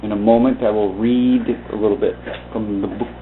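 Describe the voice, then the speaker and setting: A man reading aloud and commenting informally in a halting, deliberate way. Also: fairly low pitch, fairly even intonation, slight background noise. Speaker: video host reading from a book. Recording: muffled phone-like narrow-band sound.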